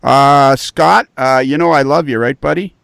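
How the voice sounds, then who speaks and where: A man runs a podcast in a casual, excited way, often repeating himself mid-sentence. His voice is gravelly with a bit of fry. The recording is dry and close. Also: mid-range voice, moderate pace, dry recording. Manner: enthusiastic, repeats words as he goes. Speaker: podcast host.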